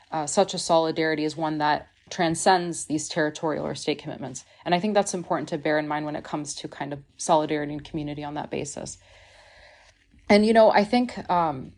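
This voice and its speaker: A woman with a very deep voice that stays level and flat. She speaks as a podcast guest in an earnest, reflective way, piling in "like" and "you know" as she works through her ideas. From Missouri, United States. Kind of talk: podcast discussion.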